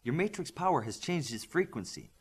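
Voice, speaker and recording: A man's voice: a little high, very animated, fairly quick, breathy edge, voice pushed up, full character voice. A man voicing an inquisitive, analytical superheroine with a questioning, matter-of-fact manner in audiobook dialogue.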